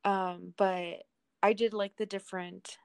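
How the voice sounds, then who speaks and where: A woman's voice: medium pitch, level tone, a little slow, creaky, clean tone, no echo. Young woman chatting casually, dry sound. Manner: agreeable, rambling, frequent "like". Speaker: podcast co-host. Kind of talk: casual chat.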